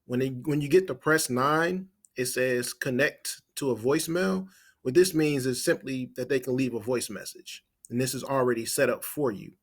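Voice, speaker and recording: Man giving an informal software walkthrough. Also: mid-range voice, normal speed. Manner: step-by-step, explanatory, thinking aloud while typing. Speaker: marketing trainer. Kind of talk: informal screen-share software tutorial.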